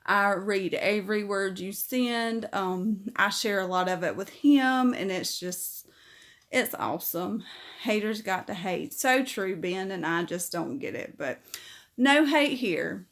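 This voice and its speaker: A woman's voice: medium pitch, animated, slow pace, a bit bright. A middle-aged woman, chatting casually on a livestream as she reads viewer comments aloud and answers each one.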